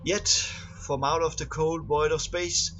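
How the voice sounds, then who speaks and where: Higher-voiced man reading a story aloud. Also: steady tone, bright, forceful tone, lo-fi sound. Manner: halting on long words, stumbling and re-reading. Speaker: amateur narrator. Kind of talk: scripted narration, reading game lore aloud.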